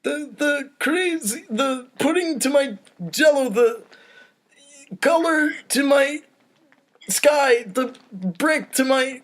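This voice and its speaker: A theatrical mafia Don, played by a man, pitched well up high and swinging widely. He is effusive and lovestruck, stretching out his words like a grand comedy mobster. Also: expressive, fairly bright tone, full character voice. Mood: gushing, besotted. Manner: effusive, drawn-out delivery. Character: gushing, theatrical mob boss Don, besotted with wife.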